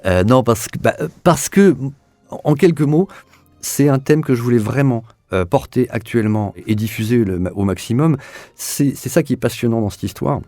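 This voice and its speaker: A man's voice: mid-range voice, animated, moderate pace, darkish tone, dry recording, soft background music. A man being interviewed as an audio drama director, answering spontaneously in an earnest, searching way, often repeating words as he feels for the next one.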